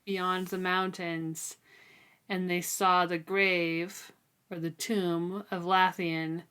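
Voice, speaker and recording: A woman's voice: lowish voice, level tone, slow, a bit bright, smooth. A woman co-hosting a book discussion in a casual, tentative way, as if thinking aloud. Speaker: co-host of a book read-along podcast.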